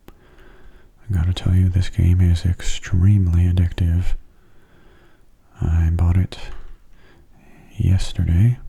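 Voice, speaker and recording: A man giving soft-spoken ASMR commentary on a game in a gentle, unhurried, casual way, his hushed voice often slipping into whispers. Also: sits low, creaky, breathy edge. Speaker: gameplay video host.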